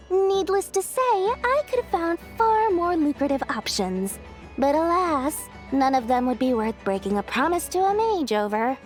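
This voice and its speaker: A woman's voice: sits high, big pitch swings, unhurried. A woman voicing a cheerful, theatrical female merchant in a video game, with showy salesmanship. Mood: coy, wistful. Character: perky, high-voiced female merchant, cheerful theatrical seller. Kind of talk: animated video game acting, sales pitch. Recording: faint music behind.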